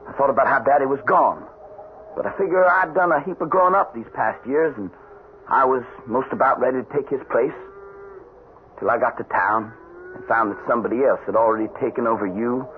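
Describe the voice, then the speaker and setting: An actor playing a bitter, stubborn young Texas soldier home from war in a radio drama, with a folksy Southern accent. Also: male voice, mid-range voice, brisk, narrow, tinny audio, close to an everyday voice. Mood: bleak, bitter. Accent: American Southern. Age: young adult. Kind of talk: old-time radio drama acting. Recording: thin, band-limited vintage radio recording, hissy.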